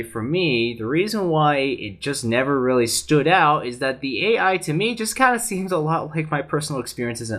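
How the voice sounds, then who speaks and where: Male panelist, bright timbre, earnest rambling delivery. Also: medium pitch. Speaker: podcast panelist. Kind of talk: casual opinionated chat.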